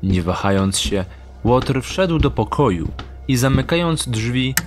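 A man narrating a scripted dark story as an audio drama narrator, in measured, literary style. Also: mid-range voice, animated. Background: music.

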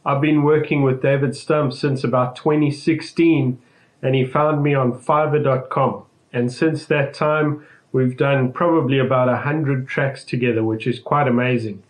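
A man's voice: mid-range voice, fairly even intonation, normal speed. A man in his forties from South Africa, a session drummer, giving a friendly, upbeat video greeting from his home drum studio. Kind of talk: friendly recorded video message.

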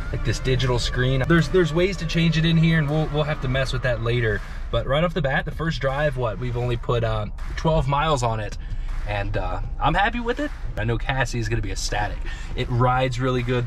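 A man in his thirties vlogging while driving, chatting in a rambling way and getting tired and loopy. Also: mid-range voice. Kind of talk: casual driving vlog. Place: inside a moving car. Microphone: dash camera in the car. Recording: loud road noise in a car. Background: loud road and engine noise.

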